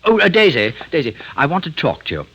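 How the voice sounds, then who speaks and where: An actor playing a nervous, self-righteous murderer doctor in an old radio drama, hesitant, stammering and theatrical. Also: male voice, fairly high pitch, huge pitch swings, fast talker, a bit bright, breathy edge, muffled recording, heavily acted. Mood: nervous, coaxing. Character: nervous, self-righteous male poisoner doctor confessing his crimes. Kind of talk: old-time radio drama acting and first-person narration. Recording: old radio broadcast with faint music.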